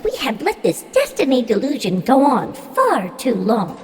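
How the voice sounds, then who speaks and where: Echoing, high, commanding goddess of Fate. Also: female voice, wildly swooping pitch, a little slow. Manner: declamatory, grand. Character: commanding, zealous female Fate of myth. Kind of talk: audio drama acting. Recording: echoing hall reverb.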